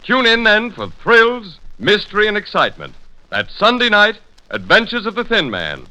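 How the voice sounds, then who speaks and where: A man's voice: high-pitched, wildly swooping pitch, normal speed, pushed and bright, rough recording. A man with an American accent delivering a punchy, breathless scripted promotion in 1940s radio style.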